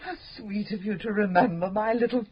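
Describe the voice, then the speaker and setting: A woman's voice: mid-range voice, brisk, crowd noise, natural voice. An actress playing a witty, worldly older woman who teases an old accomplice in a radio drama, arch and genteel. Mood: teasing. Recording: dull old radio broadcast with faint hiss.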